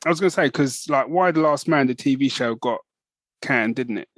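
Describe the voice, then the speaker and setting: A man's voice: mid-range voice, a little fast, no room sound, studio quality. A man co-hosting a podcast, chatting casually and rambling with restarts. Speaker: podcast co-host. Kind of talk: casual chat.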